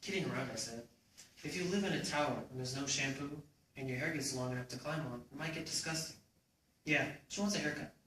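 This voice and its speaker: A man's voice: medium pitch, quick pace, a bit bright. Young man reading dramatically in echoey room. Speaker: student reader in a readers theater group. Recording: echoey room, distant mic, faint noise.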